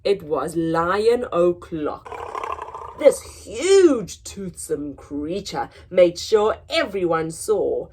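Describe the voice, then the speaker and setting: A woman reading a children's picture book aloud in a dramatic, expressive storytelling manner, moving between hushed and emphatic delivery. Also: on the low side, drawn-out words, a little hiss or hum. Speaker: story time reader.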